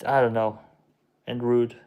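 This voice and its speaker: A young man whose first language is Czech talks slowly on a livestream, answering chat at an unhurried drag. He hesitates, mumbles and trails off with shrugging replies, his voice at an ordinary middle pitch. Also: level tone, phone-quality sound. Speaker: livestream host reading chat. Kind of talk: slow casual livestream chat.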